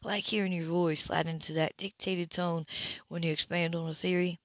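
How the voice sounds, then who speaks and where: A woman voicing a flirtatious, smooth-talking man in his early thirties, teasing and persistent, in an audiobook romance. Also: fairly low pitch, steady tone, normal speed, dry recording, lo-fi sound, pitched below the performer's own voice, close to an everyday voice. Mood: seductive.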